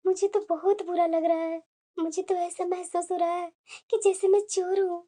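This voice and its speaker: A woman's voice: very high-pitched, little pitch movement, fast, mellow, a little hiss or hum. A woman playing a meek, long-suffering elderly mother-in-law in a family drama, plaintive and self-pitying. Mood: weary, embarrassed. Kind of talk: Hindi family drama story dialogue.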